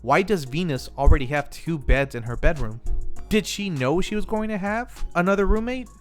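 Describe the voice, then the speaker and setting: Man, slightly high-pitched, video essay host, scripted recap, conversational, talks to viewers, music in the background. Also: lively intonation, normal speed. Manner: conversational, addresses the viewers directly. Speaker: host of a film review channel. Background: music under the voice.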